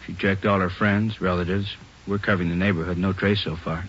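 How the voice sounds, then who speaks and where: Deep, laconic policeman. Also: steady tone, a little fast, fairly bright tone. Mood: matter-of-fact. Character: laconic male police officer reporting at a scene. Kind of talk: 1940s radio acting. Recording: muffled, hissy 1940s radio recording.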